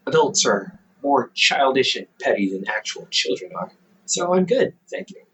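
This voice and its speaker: A man's voice: medium pitch, sing-song, normal speed, a bit bright, poor audio. A man voicing a playful young adult older brother who works as a company therapist, teasing the listener and laughing often in an audio roleplay.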